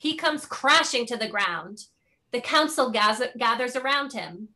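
Woman reading out stage directions, bright crisp-edged voice, on the high side. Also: expressive, unhurried. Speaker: narrator.

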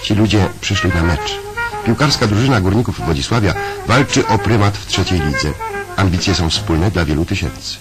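A man reading the scripted narration of a 1970s documentary film in a measured way, heard from an archival film soundtrack. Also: deep voice, expressive, music in the background.

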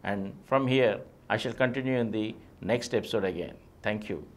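A middle-aged man with an Indian accent reads a scripted essay aloud as a presenter, measured and declamatory, stressing the openings of phrases. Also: fairly low pitch. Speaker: presenter reading a text aloud. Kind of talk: scripted reading of a philosophy essay. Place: small video studio, indoors. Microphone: lapel mic.

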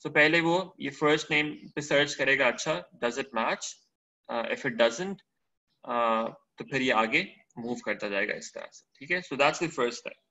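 A man's voice: mid-range voice, takes it slowly, sharp tone, low-quality recording. A man teaching a spreadsheet lesson over a screen recording, switching between Hindi-Urdu and English, checking in often with short questions. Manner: mixes Hindi-Urdu and English, explains step by step.

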